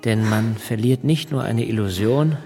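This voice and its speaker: An actor playing an inhibited, detached revolutionary leader in a radio play, speaking quietly and deliberately, as if thinking to himself. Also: male voice, medium pitch, faint music behind, natural voice. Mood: detached, bitter. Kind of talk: intimate radio drama acting with inner monologue.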